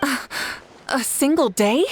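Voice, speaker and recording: A woman voicing a dignified, resolute warrior leader of a nation, speaking formally and with measured words in a video game. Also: high-pitched, animated, slight background noise. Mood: surprised. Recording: background music under the voice. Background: music.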